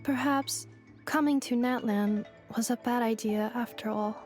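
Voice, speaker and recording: A woman's voice: a little high, a little slow, darkish tone, soft background music, close to an everyday voice. A woman voicing a polite, emotionless female robot in a video game, speaking in a clipped, formal manner. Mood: detached.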